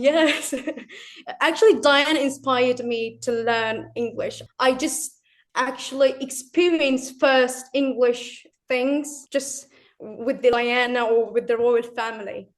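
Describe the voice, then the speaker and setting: High-voiced young woman, hesitant. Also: steady tone, slow. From Turkey. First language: Turkish. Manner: hesitant, repeats words, laughs often. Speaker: interview guest, English learner. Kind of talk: casual interview answers.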